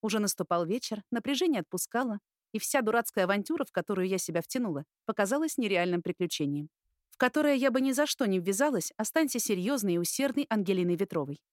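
A woman's voice: mid-range voice, animated, fast, dry recording, studio quality. A woman reading scripted first-person fiction as an audiobook narrator.

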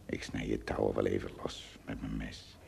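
An actor playing a good-natured, peaceable shipwrecked giant in a radio play, with a husky, gravelly voice and an animated, theatrical delivery. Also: male voice, extremely low pitch, normal speed, heavily acted. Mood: reassuring.

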